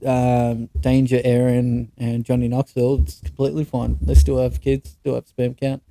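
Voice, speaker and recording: A man's voice: mid-range voice, completely flat delivery, normal speed, gravelly, dark tone, low-quality recording. A young Australian man co-hosting a podcast, telling stories in a casual, sweary and blunt way. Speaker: podcast co-host. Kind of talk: casual chat, anecdotes with mates.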